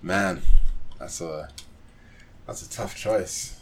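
A man's voice: deep voice, drawn-out words, fairly bright tone, a little hiss or hum. A man in a casual podcast chat about games, thinking aloud hesitantly as he talks. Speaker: podcast panelist.

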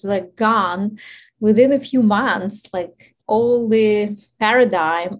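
A woman's voice: medium pitch, a little mellow. Ukrainian-accented woman, reflective and laughing. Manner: thoughtful, laughs often between thoughts. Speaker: guest, intimacy coach. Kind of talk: reflective conversational storytelling.

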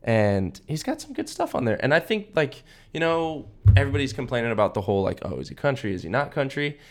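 A man's voice: mid-range voice, big pitch swings, fast talker. A young American man co-hosting a podcast in a casual, laid-back, slangy and enthusiastic chat. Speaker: podcast co-host.

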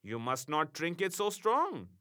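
A man's voice: sits high, very animated, slow pace, pushed and bright, pitched above the performer's own voice, heavily acted. A man voicing a pompous, quarrelsome male angel who speaks thick, broken English with a German accent. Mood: soothing. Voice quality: thick broken English, rolling mock-formal phrasing.

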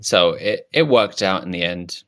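A man's voice: low-pitched, lively intonation, a little slow, a bit bright. A man improvising a shy male politician who has no opinions, talking in hesitant, stammering restarts. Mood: evasive. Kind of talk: improvised comedy character.

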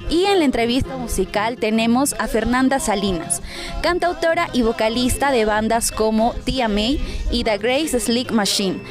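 A woman hosting a radio show with a scripted introduction. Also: on the high side. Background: music bed.

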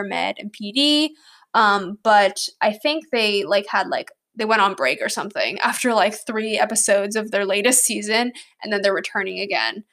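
A woman's voice: mid-range voice, fairly bright tone. A young woman hosting her own podcast, chatting casually and rambling with many likes and ums. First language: English. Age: young adult, student. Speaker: podcast host. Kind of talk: casual solo podcast chat.

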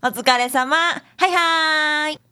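An actress playing a breezy, capable detective's assistant in a radio drama, expressive and brisk. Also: female voice, sits high, full character voice. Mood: casual, brisk.

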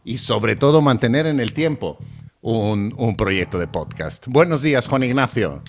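A man's voice: mid-range voice, animated, measured pace, a little hiss or hum. A middle-aged man with an Italian accent speaking Spanish as a conversational podcast host.

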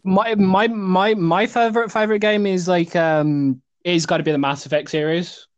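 Hesitant eighteen-year-old lad. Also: male voice, high voice, fairly even intonation, moderate pace, dry recording, dull audio. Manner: hesitant, restarts his sentences. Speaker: podcast co-host. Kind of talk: casual podcast chat.

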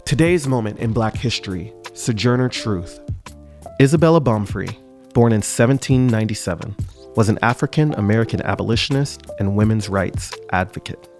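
A man hosting a podcast, reading a scripted history segment in a measured way. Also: lowish voice, lively intonation, a little mellow, faint music behind. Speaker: podcast host.

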